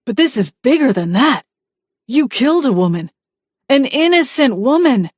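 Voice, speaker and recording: A woman's voice: mid-range voice, normal speed, no room sound, lightly acted. A woman voicing a frightened, guilty middle-aged husband who pleads in a strained voice, in a dry recording. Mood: pleading.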